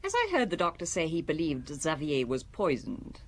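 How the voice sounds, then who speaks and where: An actress playing a cold, composed wife under suspicion in a radio drama, clipped and faintly contemptuous. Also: female voice, sits low, wildly swooping pitch, normal speed, a little hiss or hum, heavily acted. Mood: cool, defensive. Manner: clipped, cool, matter-of-fact.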